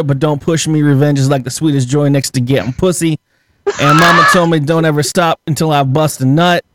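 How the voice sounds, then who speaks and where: A man's voice: on the high side, very noisy. A man hosting a casual podcast, joking around and swearing in a playful way. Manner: jokey, profane, playful. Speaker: podcast host.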